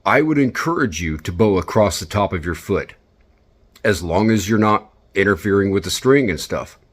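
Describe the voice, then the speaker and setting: A man's voice: on the low side, animated, normal speed. A man giving instructional commentary, speaking directly and encouragingly as he coaches. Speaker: instructor and video host.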